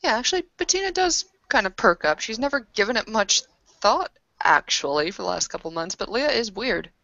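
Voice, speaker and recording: A woman's voice: mid-range voice, sing-song, brisk, gravelly, bright, forceful tone, dry recording. A woman playing a tabletop roleplaying game, casually narrating what her character does. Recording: dull lo-fi sound.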